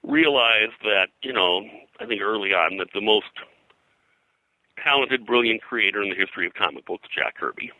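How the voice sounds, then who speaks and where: Deep, very bright-voiced older man, halting. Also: expressive, no echo, rough recording. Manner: halting, discursive, hesitant phrasing. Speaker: interview guest, pop culture historian. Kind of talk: casual interview, anecdotal storytelling.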